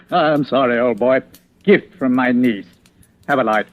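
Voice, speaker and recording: An actor playing a genial, clipped British police chief inspector in 1940s radio drama style. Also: male voice, medium pitch, slow, lightly acted. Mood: affable, casual. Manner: clipped, affable, casual. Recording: muffled vintage radio recording.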